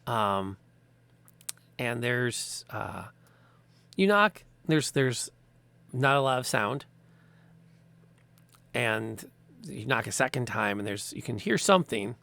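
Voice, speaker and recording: Gravelly, animated male game master. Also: medium pitch, slow, long pauses. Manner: relaxed, hesitant, lots of ums. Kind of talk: narrating a tabletop game.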